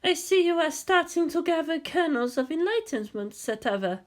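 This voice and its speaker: Narrator acting out a gentle female guide, high pitch, lilting range, respectful, storybook fantasy. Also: expressive, normal speed. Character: gentle, high-voiced adult woman guide, serenely respectful.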